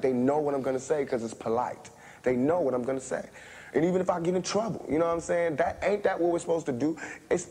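Guy giving a pumped-up speech, husky voice, big echoey hall. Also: medium pitch, a little mellow. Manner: emphatic, repeats himself. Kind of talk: motivational speech.

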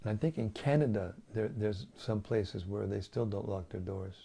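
An older man, a spiritual teacher, giving a slow devotional talk on scripture and often repeating or restarting his words. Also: on the low side, vocal fry, mellow, husky.

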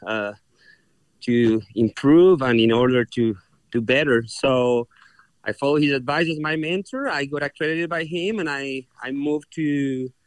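A man's voice: medium pitch, no room sound. A young adult man from Chile with a Chilean Spanish accent, a horse trainer answering interview questions earnestly and often restarting his words. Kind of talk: interview guest.

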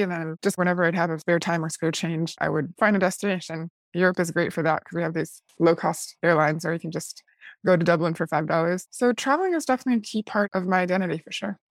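A woman's voice: on the low side, no echo. Chatty young woman, dry close mic. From United States. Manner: chatty, curious, frequent "like" fillers. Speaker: guest. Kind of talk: casual interview conversation.

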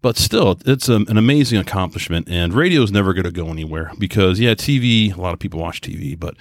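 Casual podcast host, American man with a low voice, folksy and enthusiastic rambler, dry recording. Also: expressive, quick pace, dry recording. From New York, US. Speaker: podcast host and radio hobbyist. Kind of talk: casual solo podcast monologue.

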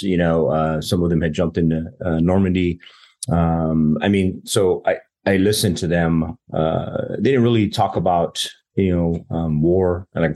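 Very deep-voiced man from New Mexico. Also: a little mellow. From southern New Mexico. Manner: earnest, many fillers and restarts. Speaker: podcast guest, veteran. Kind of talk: conversational interview.

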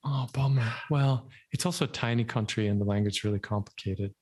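Male host, husky drifting American-German accent, easygoing wry storyteller. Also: mid-range voice, brisk, darkish tone. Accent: mixed American and German. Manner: laid-back, rambling, wry. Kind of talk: casual conversation.

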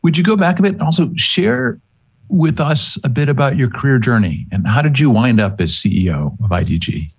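A man with a middle-pitched voice conducts an interview. He speaks in a conversational register as he poses questions to his guest. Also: animated, brisk, creaky, slightly rough.

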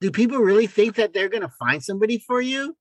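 A middle-aged man co-hosting a podcast in casual conversation, blunt and joking in an animated way. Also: sits high, fast talker, dry recording, clean studio sound. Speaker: podcast co-host.

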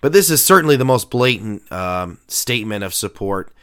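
Male podcast host and pastor, nasal voice, smooth and clear, earnest and emphatic. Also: fairly low pitch, expressive, moderate pace, fairly bright tone. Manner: earnest, emphatic, with frequent uh fillers. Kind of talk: podcast sermon talk.

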